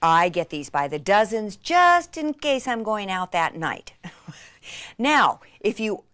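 A middle-aged woman presenting a chatty craft tutorial in an excitable, gushing manner with dramatic emphasis. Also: medium pitch, takes it slowly, no room sound.

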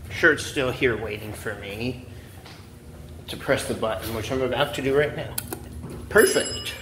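A man filming his own elevator rides and chatting casually behind a handheld camera, offhand and excitable, swearing now and then. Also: mid-range voice, measured pace. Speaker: elevator fan vlogger. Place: parking garage elevators and decks, indoors and out. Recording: echoey with loud background noise.

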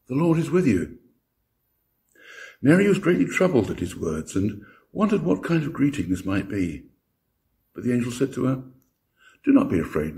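A man's voice: medium pitch, warm tone. An older man reading scripture and preaching as a lay minister in a church. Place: church interior.

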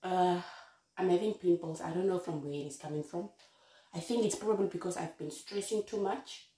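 A young woman from Namibia vlogging at home, talking softly and casually into a close phone camera, her voice deep and rough from a flu. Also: a little fast, rough recording. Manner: soft-spoken, often near a whisper, relaxed. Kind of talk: casual vlog chat. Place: bedroom indoors.

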